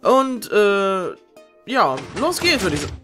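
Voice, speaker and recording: A man's voice: sits high, sing-song, takes it slowly, sharp tone, soft background music. A man hosting a game show with a playful, joking, theatrical and exclamatory delivery.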